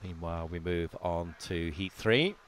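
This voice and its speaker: A man, not from Wales, giving live commentary on a swimming meet, calmly reading out lanes and times and lifting his voice during close finishes. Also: low-pitched, unhurried, a little hiss or hum.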